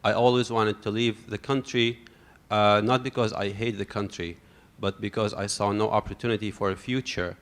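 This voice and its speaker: A man's voice: on the low side, fairly even intonation, normal speed, fairly bright tone. A man from Syria speaks at a panel as a refugee, hesitant and full of fillers. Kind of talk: unscripted panel talk.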